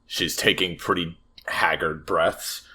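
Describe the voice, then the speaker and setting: A man playing a tabletop game, casually narrating what a character does in halting, hesitant phrases. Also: low voice, fairly even intonation, pushed and bright, slightly rough.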